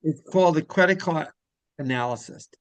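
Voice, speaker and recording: A man hosting a casual interview, rambling through halting questions that double back on themselves. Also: a little high, no room sound, poor audio. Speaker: interviewer and show host.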